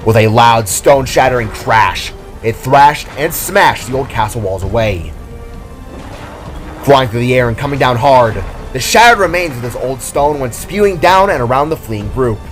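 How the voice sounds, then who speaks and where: A man narrating a scripted fantasy adventure story in a dramatic style. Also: medium pitch. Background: music.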